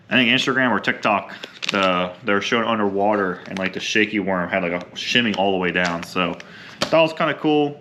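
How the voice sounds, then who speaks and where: A young adult man with a slightly hoarse voice from a cold, chatting casually and rambling with many ums while unboxing fishing gear. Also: fairly low pitch, bright, forceful tone, slight background noise. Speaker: fishing vlogger.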